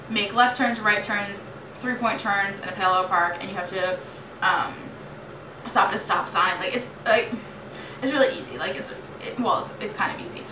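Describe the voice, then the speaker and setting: A young American woman in her late teens telling a story in a casual, animated, chatty vlog style. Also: medium pitch. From New York State, United States. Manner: animated, chatty, dramatizes remembered exchanges. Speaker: vlogger. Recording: roomy echo, distant mic, clearly noisy.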